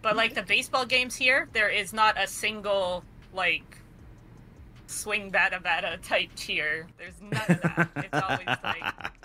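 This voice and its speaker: A woman's voice: medium pitch, a little slow, pushed and bright, a little hiss or hum, rough recording. A woman from Canada, co-hosting a podcast in casual chat, talking freely and laughing often. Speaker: podcast co-host.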